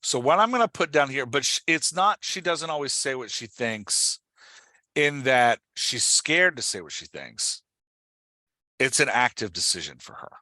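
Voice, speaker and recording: A man with a bright, forceful voice talks someone through their work like a hands-on coach. His delivery is casual but direct, and he keeps checking for agreement. The sound is close and dry, with very little room. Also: medium pitch, big pitch swings, moderate pace, no echo. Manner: direct, instructive, often checks understanding. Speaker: podcast host coaching an author on dialogue. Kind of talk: casual one-on-one coaching talk.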